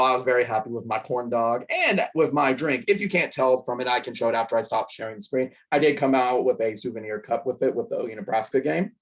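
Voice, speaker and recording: A young man with a hoarse voice, casually recapping a football game he attended, rambling with fillers. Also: mid-range voice, a bit bright. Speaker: sports podcast host.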